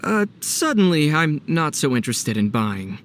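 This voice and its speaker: Lively young adventurer hero. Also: male voice, on the high side, normal speed, slight background noise. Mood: amused. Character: calm, teasing young male adventurer hero. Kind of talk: video game voice acting.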